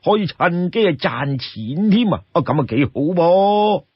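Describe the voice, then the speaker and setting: A man voicing an easygoing, money-minded old townsman in a Cantonese story, chatty and full of drawn-out interjections. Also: high voice, lively intonation, husky, no echo, highs cut off, heavily acted. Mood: scheming, pleased.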